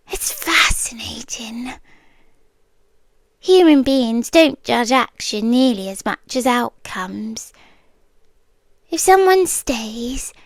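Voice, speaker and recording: A woman's voice: high-pitched, dry recording, heavily acted. A woman whispering as an obsessive British kidnapper girlfriend. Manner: soft whispering, slow musing monologue. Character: obsessive romantic young woman kidnapper, calm and reflective. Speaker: ASMR roleplay creator. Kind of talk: ASMR roleplay.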